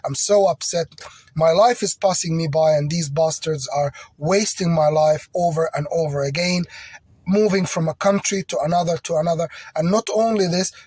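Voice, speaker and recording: French-native man, higher-pitched and husky, rambling and agitated personal appeal. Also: moderate pace, darkish tone, no room sound.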